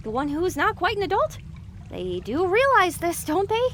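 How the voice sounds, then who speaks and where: High, animated young pony filly. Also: female voice, normal speed, a little hiss or hum, exaggerated voice. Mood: indignant, questioning. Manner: meek, questioning. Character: young pony filly, meek, naive, chatty adventurer. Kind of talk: audio drama acting.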